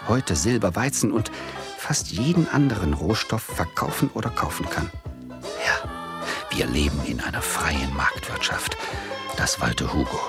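An actor playing a gullible, easily dazzled would-be speculator, wry and musing, narrating and talking in a 1970s German radio play. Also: male voice, fairly low pitch.

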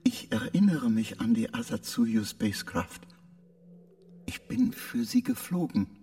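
A man playing a confused artificial intelligence of a spacecraft, speaking in a halting, disoriented way, sounding distant. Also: medium pitch, wildly swooping pitch, warm tone. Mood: confused, searching.

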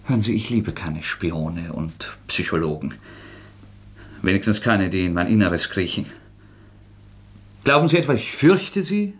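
An actor playing a proud, bitter young student murderer in a radio play, with an intense, sardonic, defiant delivery. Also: male voice, low-pitched, big pitch swings, unhurried, pauses often. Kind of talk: German radio play acting.

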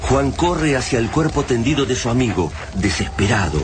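A man's voice: mid-range voice, animated, moderate pace. A man giving dramatic scripted narration of the action in a radio drama. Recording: loud vehicle and ambience noise behind.

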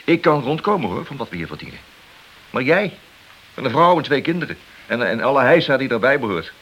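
An actor playing a hard-nosed, cynical police detective in a Dutch radio play, blunt, needling and sardonic in manner. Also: male voice, mid-range voice, big pitch swings, a little fast, a bit bright, husky, exaggerated voice. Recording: noisy, hissy old radio drama recording.

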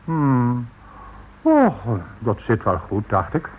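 Confident business partner in old radio play, formal, swingy intonation, bit dull recording. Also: male voice, medium pitch, wildly swooping pitch, slow, exaggerated voice. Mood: dismissive. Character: smooth, confident, dismissive business partner, a financier.

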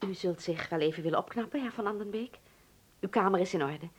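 A woman's voice: medium pitch, fast talker, husky, lightly acted. An actress playing a courteous, formal German landlady in a radio drama, speaking with a German accent. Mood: polite, reserved. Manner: formal, polite.

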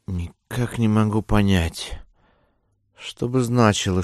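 An actor playing a fussy, self-satisfied gentleman schemer in an audio drama, humming and muttering to himself. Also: sits low, dry recording, clean studio sound. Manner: hums and mutters to himself between phrases. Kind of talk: theatrical audiobook drama acting.

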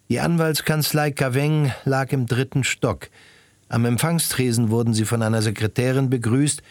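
A man narrating a radio drama in a calm, even storytelling voice. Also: medium pitch, no room sound. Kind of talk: scripted narration.

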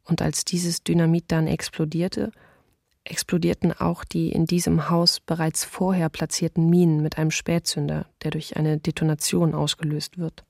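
A woman playing a sombre eyewitness of wartime occupation in a documentary radio play, measured and matter-of-fact. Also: low voice, little pitch movement, gravelly, a little mellow, dry recording, clean studio sound. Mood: hushed, grave.

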